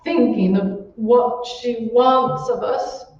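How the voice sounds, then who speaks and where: A woman playing an imperious, shrewish wife in an old-time radio horror play, with a shrill, scornful, commanding manner. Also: slow, a little mellow. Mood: dread, weary. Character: imperious, shrewish wealthy wife, bossy, panicked by a ghost. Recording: muffled, very echoey, distant stage recording.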